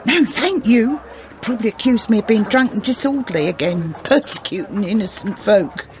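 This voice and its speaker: An actress playing a cantankerous, middle-aged market stallholder in a radio drama, with a working-class English accent, scolding and indignant. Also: female voice, mid-range voice, animated, moderate pace, a little hiss or hum, lightly acted. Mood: defensive, aggrieved. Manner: scolding, indignant, wheedling when it suits. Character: cantankerous, aggrieved female market stallholder, sharp-tongued and evasive. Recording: radio drama, busy street crowd behind.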